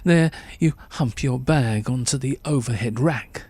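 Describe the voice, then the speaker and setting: A male narrator reads short fiction in a theatrical, suspenseful way. His pitch is middling for a man. The recording is dry and close, with very little room sound. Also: measured pace, darkish tone, breathy edge, no echo. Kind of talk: dramatic second-person short story narration.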